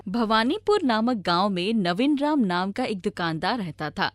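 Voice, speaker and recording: A woman's voice: medium pitch, big pitch swings, moderate pace, no room sound, soft background music. A woman reading scripted storybook narration as the storyteller.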